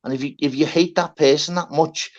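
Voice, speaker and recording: A man's voice: on the high side, fairly even intonation, brisk, lo-fi sound. Chatty man, keeps saying lad. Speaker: host. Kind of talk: casual banter on a live stream panel.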